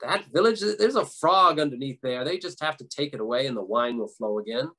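Man playing a loud, exasperated devil. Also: medium pitch, animated, brisk. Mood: irritated, exasperated. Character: devil, gruff irritable grandson, screaming and grumbling.

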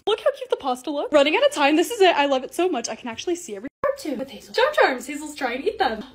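A young woman with a bubbly, gushing way of talking and a slightly raspy voice, narrating her lifestyle vlog. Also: sits high, very animated, very fast, a little hiss or hum. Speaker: lifestyle vlogger. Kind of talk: casual vlog voice-over.

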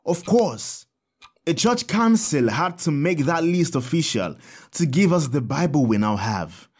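An actor playing a kindly priest in an audio drama, telling saints' stories in a measured, expressive, instructive way. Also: male voice, fairly high pitch, husky, full character voice. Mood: earnest, explanatory. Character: kindly priest, Father Tad, teaching children about saints.

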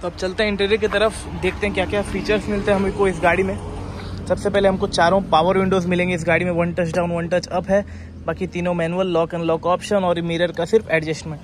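Man, high voice, car dealer, persuasive patter with English terms, clear vehicle and outdoor noise. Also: level tone, fast. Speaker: used-car dealer presenting a car. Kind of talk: unscripted sales walk-around. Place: outdoors by a roadside lot and inside a car.

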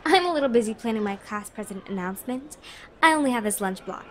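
A woman playing a vain, bossy, attention-seeking high-school queen bee in a comedic audio drama, haughty and dismissive. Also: animated, fast talker, slight background noise, natural voice.

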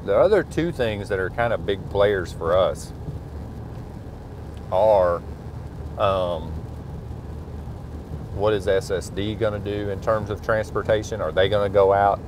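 A man's voice: fairly low pitch, measured pace, long pauses. A middle-aged man, a school superintendent, chatting casually while driving his truck at night, thinking aloud with many fillers. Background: faint car engine and road noise.

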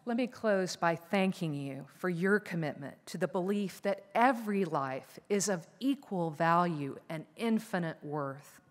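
A woman in her fifties giving a formal prepared keynote speech from a lectern on a conference stage, in a measured, earnest delivery. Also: lowish voice, animated. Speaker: keynote speaker. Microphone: lectern microphones. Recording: reverberant conference hall.

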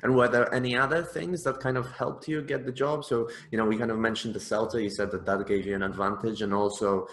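A man's voice: on the low side, fairly even intonation, fairly quick, few pauses, a bit bright. A man in his thirties hosting an interview and explaining to camera in an earnest way, recorded on a webcam. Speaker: host, teacher trainer. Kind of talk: interview host and intro to camera. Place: small indoor room. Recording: echoey room.

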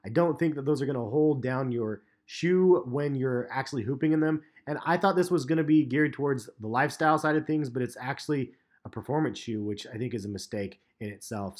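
A man in his thirties giving a relaxed, chatty sneaker review in a vlog, with plenty of ums and uhs. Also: mid-range voice. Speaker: sneaker vlogger. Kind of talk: casual vlog commentary. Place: parked car, and voice-over on store footage.

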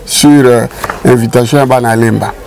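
A man's voice: mid-range voice, fairly even intonation, moderate pace, gravelly, a little mellow. An older man from Congo Kinshasa, seated in a small indoor studio, telling community history in emphatic casual talk. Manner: emphatic, mixes French and Lingala. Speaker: show guest and narrator of community history. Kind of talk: casual studio chat, storytelling.

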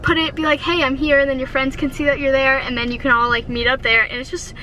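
A woman's voice: high-pitched, flat delivery, quick pace, sharp tone, rough recording. A young woman vlogging in a casual, rambling way, giggly and bubbly, filmed by a camera on the dashboard of her car. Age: young adult. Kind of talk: casual chat. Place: inside a parked car, then a big store. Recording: music playing in the car.

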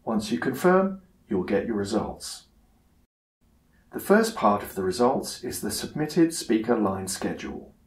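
A man giving a scripted software tutorial narration in a measured, instructional manner. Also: fairly low pitch, big pitch swings, roomy sound.

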